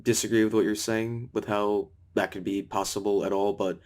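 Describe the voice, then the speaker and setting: A man in a heated questioning session, pushing hard for straight answers and cutting in when he gets excuses. His voice sits slightly low and his delivery stays insistent and impatient. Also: little pitch movement, normal speed, noisy background. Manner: pressing, interrupting, frustrated. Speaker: interviewer. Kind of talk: confrontational questioning.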